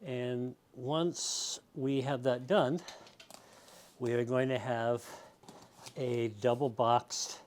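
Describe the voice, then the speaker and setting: Man, slow pace, casual instructional talk, patient explaining, noisy recording with clicks. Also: mid-range voice, fairly even intonation, darkish tone. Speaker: demonstrator in a how-to video. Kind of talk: casual step-by-step tutorial talk.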